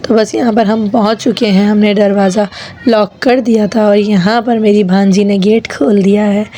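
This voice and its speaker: A woman in her thirties with a hoarse voice from a sore throat, chatting to viewers as she narrates her family vlog. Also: mid-range voice, fairly even intonation, moderate pace, warm tone, slight background noise. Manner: chatty, addresses viewers directly. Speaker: vlogger. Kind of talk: casual vlog voice-over narration.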